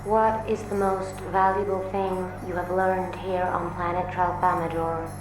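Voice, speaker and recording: A woman playing a serene, detached alien zoo guide in a radio drama, speaking in a measured, deadpan, unemotional way over music. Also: lowish voice, lightly acted. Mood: detached calm. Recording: echoing voice over prominent music.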